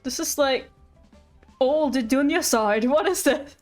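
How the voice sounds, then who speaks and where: High-voiced woman streaming a game. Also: slow pace. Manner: chatty, reactive. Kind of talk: casual live game commentary, reading dialogue aloud. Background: game music.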